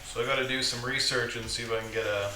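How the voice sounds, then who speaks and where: Man, low voice, hobby builder talking through his work, stops and restarts, distant mic, echoey room, music playing clearly behind. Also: fairly even intonation. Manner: thinks aloud while working, with halting restarts. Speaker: maker hosting a live build stream. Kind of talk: casual live workshop commentary. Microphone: condenser mic set away from him. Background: music from a streaming app.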